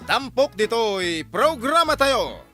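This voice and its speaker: A man's voice: very high-pitched, sing-song, slow pace, bright, forceful tone. A man with an Ilocano accent giving a dramatic scripted introduction as a radio program narrator.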